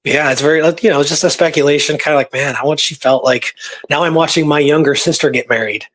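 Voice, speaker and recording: Higher-voiced man telling history casually. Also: fast, a bit bright. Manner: conversational, speculative, often checks in with listener. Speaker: podcast host presenting historical research. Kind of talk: casual history storytelling, reading old records aloud.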